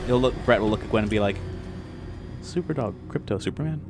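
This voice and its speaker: A man's voice: on the low side, quick pace. A man playing a tabletop roleplaying game, improvising in casual chat. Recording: faint music behind voice. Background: faint music.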